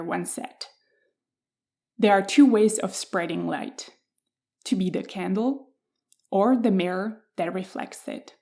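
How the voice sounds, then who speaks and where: A woman hosting a podcast, reading a measured, reflective introduction and then welcoming her guest. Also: medium pitch, creaky, warm tone. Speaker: podcast host.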